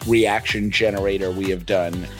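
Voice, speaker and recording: A man's voice: sits low, fairly even intonation, normal speed, music in the background. A man chatting casually as the co-host of a podcast. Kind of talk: casual chat.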